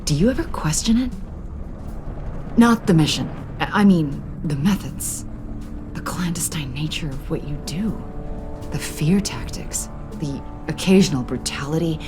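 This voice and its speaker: Casting: conflicted female agent, video game drama; low register, measured, candid, professional, music underneath. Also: animated. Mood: troubled, searching. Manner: measured, candid, slightly formal. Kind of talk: naturalistic video game dialogue.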